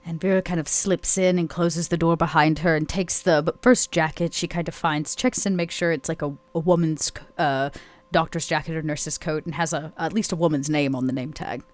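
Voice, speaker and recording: Woman rpg player improvising what her character does, lowish voice, casual, kinda thinking out loud. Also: steady tone, brisk. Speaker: tabletop game player.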